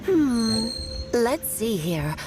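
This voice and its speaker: A woman's voice: a little high, very animated, drawn-out words, over music, full character voice. A woman playing a breezy, clever, worldly mentor in a video game. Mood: breezy, playful.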